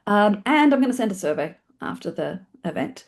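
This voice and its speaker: Female webinar host, New Zealand accent, mid-range voice, informal and chatty, quick-talking presenter style. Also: medium pitch, very animated, creaky. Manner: fast-talking, chatty, self-deprecating, questioning okay check-ins. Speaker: webinar host and film community organiser. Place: online video call.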